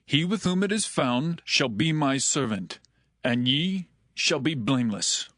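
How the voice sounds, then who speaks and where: An actor playing a firm, judicial steward in a dramatised scripture reading. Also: male voice, medium pitch, animated, measured pace, fairly bright tone, dry recording, studio quality. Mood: stern. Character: firm, judicial male steward passing terms.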